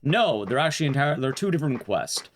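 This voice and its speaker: Male streamer, chatty speedrun commentary, very lively pitch swings, clear background noise and clicks. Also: fairly quick. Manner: rambling, chatty, jokey asides. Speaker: streamer speedrunning a horror game. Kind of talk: casual live game commentary.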